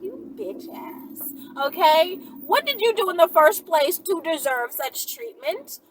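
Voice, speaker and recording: A woman's voice: very high-pitched, sing-song, measured pace. A woman host talking casually to her viewers in an emphatic, sassy way, often checking in as she goes. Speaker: channel host answering viewer questions. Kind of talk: casual, animated monologue to viewers. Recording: noisy, distant-sounding mic.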